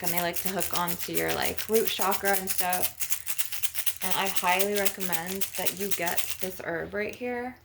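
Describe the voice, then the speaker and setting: A woman's voice: on the low side, creaky, heavy background noise. A woman healer talking informally and emphatically to her video audience in a spiritual ASMR session, with casual filler phrases and some swearing. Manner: conversational, emphatic, peppered with swearing and "you know".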